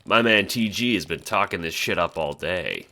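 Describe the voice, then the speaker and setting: A man's voice: deep voice, expressive, moderate pace, sharp tone, full character voice. A man voicing a cocky, slangy teenage gamer bro in an audio drama, full of jokey buddy talk. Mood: playful, upbeat.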